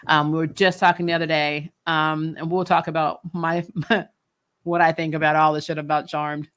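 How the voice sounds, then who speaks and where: Woman, slightly low voice, blunt fan commentary. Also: level tone, moderate pace, fairly bright tone, clean tone. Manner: blunt, exasperated, sweary. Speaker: host of a TV recap show. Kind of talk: casual opinionated fan commentary, replying to live comments.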